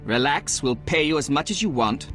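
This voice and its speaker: An actor playing an ambitious, cocky young king in a video game, self-assured and smooth-talking. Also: a little high, expressive, moderate pace, a bit bright, faint music behind. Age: young adult.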